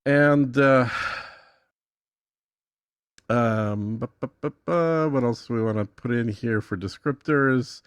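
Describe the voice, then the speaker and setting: A man's voice: medium pitch, slow pace, long pauses, clear, resonant tone, dry recording. A man giving a casual, conversational tutorial as a guest, thinking aloud in an easygoing way. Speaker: guest demonstrating an AI music tool.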